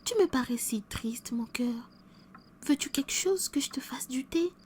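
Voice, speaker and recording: A caring, affectionate wife, played by a woman, with a high, light voice. She speaks gently and with concern, in a soft tone. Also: expressive, a little slow, slight background noise.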